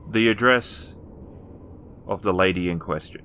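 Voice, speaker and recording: A man narrating a tabletop game as game master, improvising descriptions in halting phrases. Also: sits low, fairly bright tone, a little hiss or hum.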